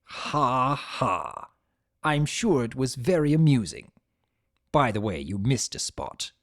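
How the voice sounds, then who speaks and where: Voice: medium pitch, very animated, slow, no echo, clean studio sound. A man voicing an imprisoned male spirit of chaos in a story reading, pompous, sarcastic and bitter, with theatrical, seething delivery. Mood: sardonic.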